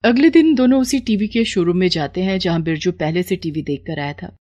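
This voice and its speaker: A woman's voice: on the low side, fairly quick, darkish tone. A woman narrating a scripted moral story.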